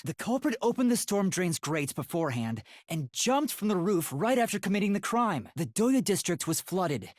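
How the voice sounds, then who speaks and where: An earnest, boyish young detective from a video game. His voice is light and husky, with lively swings in pitch, and he reasons things out carefully yet sounds easily rattled. The recording is dry and close. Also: no echo, full character voice.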